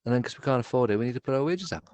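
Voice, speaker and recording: A man's voice: mid-range voice, lively intonation, rapid-fire, a little mellow. A man co-hosting a podcast in casual chat, contrary and matter-of-fact as he teases his co-host.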